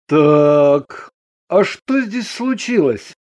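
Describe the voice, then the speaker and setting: A fairly high-voiced husband and father acted by a man, wry and a little gruff but steady. His storybook lines move with lively, expressive intonation. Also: slow, full character voice. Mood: startled.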